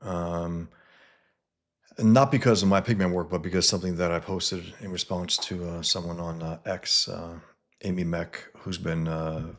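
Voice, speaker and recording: A cartoonist from the Bronx, talking casually to followers on a livestream, with many fillers and restarts. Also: male voice, low-pitched, a bit bright. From the Bronx, New York. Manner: greets viewers mid-talk.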